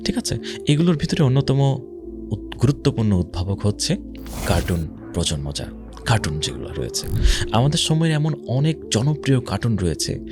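A man with a Bangladeshi accent giving a religious social commentary talk, speaking emphatically with rhetorical questions and some English words mixed in. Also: fairly low pitch. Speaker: Islamic speaker. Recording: music behind the voice.

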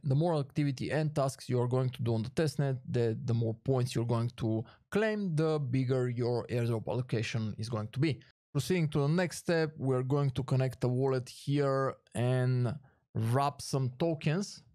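A man's voice: mid-range voice, normal speed, mellow, no echo. Man narrating a tutorial, very dry sound. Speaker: tutorial host. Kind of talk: casual screen-recorded step-by-step tutorial narration.

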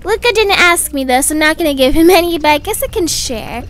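A doting young mother, played by a woman in a cartoon roleplay. She sounds gushing and fussy, with a very high, bright voice and animated delivery. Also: fast talker. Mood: chatty. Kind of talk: animated doll-game roleplay voice acting.

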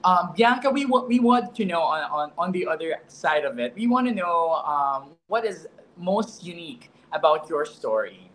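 Halting young man hosting a video interview. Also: a little high, animated, fairly bright tone, poor audio. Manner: halting, with many fillers and repeats. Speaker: host, interviewer. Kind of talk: online interview. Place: indoor room. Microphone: video call. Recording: faint background noise.

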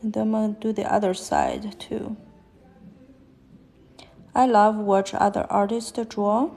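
A woman with a Chinese accent, whose first language is Chinese, talks haltingly while she draws fashion illustrations on a live stream. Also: medium pitch, flat delivery, gravelly, darkish tone, faint music behind. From China. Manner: halting, thinking aloud while drawing. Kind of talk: live-stream drawing commentary. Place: at a desk, drawing on paper.

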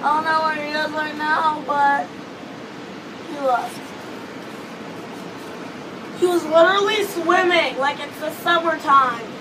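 A hoarse teenage girl rambles through a casual vlog, her voice high and strained from losing it. She talks close to a phone on a seaside balcony while ocean waves and wind wash clearly behind her. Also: slow, pauses often. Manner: chatty, rambling with many fillers. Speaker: vlogger. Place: outdoor hotel balcony above a beach. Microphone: phone or webcam close in front. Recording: wind and wave noise outdoors. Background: ocean waves and beach noise.

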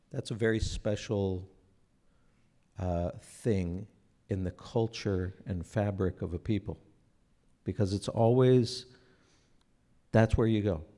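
Man in his 40s on a church stage at a stand mic, dark warm voice, earnest and unhurried, keeps restarting phrases. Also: sits low. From Israel. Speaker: speaker at a church breakfast. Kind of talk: sermon-like talk to an audience. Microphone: stand microphone.

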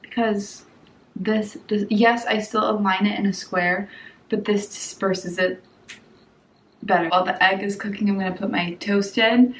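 A woman's voice: medium pitch, fairly even intonation, measured pace, echoey room, a little hiss or hum, poor audio. A young woman in her twenties chatting casually through a home cooking vlog, hungover, giggly and full of likes and ums. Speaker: cooking vlogger. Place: home kitchen and dining room, indoors.

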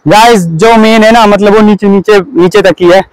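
A young man talks to camera in a casual travel vlog. He is excitable and playful, recorded outdoors on what sounds like a phone camera. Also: sits high, normal speed, smooth, dry recording, rough recording. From Himachal Pradesh, India. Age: 20s. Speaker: travel vlogger. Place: outdoors on a forested mountain trail.

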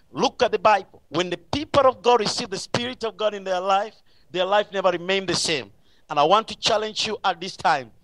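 High-pitched, bright-voiced man preaching. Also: dry recording, rough recording. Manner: emphatic, repetitive exhortation. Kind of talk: live radio sermon.

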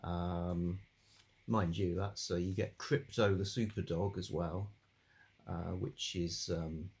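An older man hosting a relaxed, rambling chat about old books from his home study, with a calm delivery and many fillers. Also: sits low, slow, husky. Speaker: book channel host.

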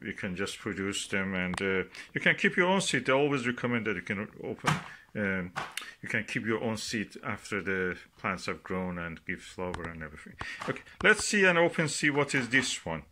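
A man giving casual, unscripted commentary as he shows items, speaking haltingly in short phrases with pauses between them. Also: fairly low pitch, expressive. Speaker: gardening vlogger. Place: indoors at a work table. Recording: noisy home recording with clicks and rustling.

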